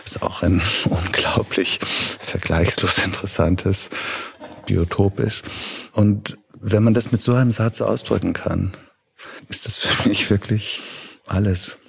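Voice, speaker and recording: A middle-aged man, raised in Italy and Germany, answering interview questions thoughtfully as a festival director, pausing to search for words. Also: fairly low pitch, steady tone, gravelly, slightly rough.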